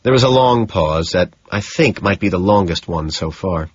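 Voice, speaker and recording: A man's voice: low-pitched, animated, moderate pace, breathy edge, dry recording, lo-fi sound. A man reading scripted first-person fiction as an audiobook narrator.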